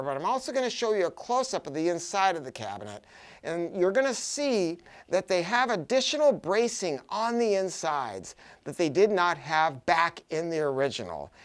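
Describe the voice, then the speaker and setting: An American man giving an enthusiastic, unscripted product pitch, chatty and emphatic, often repeating words for stress. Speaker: audio shop owner presenting a product review.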